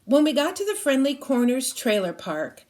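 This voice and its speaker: High-voiced woman reading a children's story. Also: sing-song, moderate pace, darkish tone. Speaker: teacher reading aloud. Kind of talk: expressive children's novel read-aloud.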